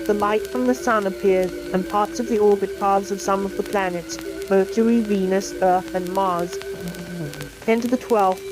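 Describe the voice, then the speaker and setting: A woman's synthetic AI voice giving scripted educational science film narration in a measured, explanatory manner. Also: mid-range voice, rough recording. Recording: music playing under the voice. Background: music.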